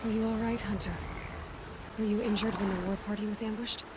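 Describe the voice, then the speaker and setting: An actress voicing a direct, self-assured young huntress in a video game. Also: female voice, mid-range voice, fairly even intonation, a little fast, close to an everyday voice. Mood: concerned. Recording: clear background ambience noise.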